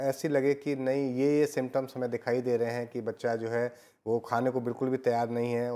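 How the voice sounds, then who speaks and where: A man's voice: mid-range voice, mellow. A man hosting an educational program, affirming and summarizing the guest's points with some fillers. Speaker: host. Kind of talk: educational talk on live program.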